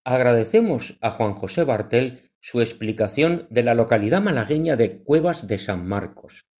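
A man hosting a religious radio program, introducing segments in a measured, devout manner. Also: mid-range voice, lively intonation, darkish tone. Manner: measured, devout announcer delivery.

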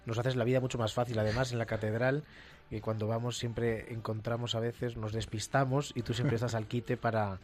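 A man's voice: medium pitch, flat delivery, fairly quick, a little mellow. A young man with a Castilian Spanish accent hosting a conversational radio interview, effusive and often repeating words as he goes. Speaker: radio host, seminarian. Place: radio studio. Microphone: studio microphone.

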